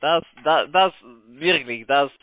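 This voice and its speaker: A man with a foreign accent, not a native German speaker, making an insistent sales call in halting, broken German over the telephone. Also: fairly high pitch, dry recording. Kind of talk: unscripted sales phone call. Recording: muffled narrowband phone line.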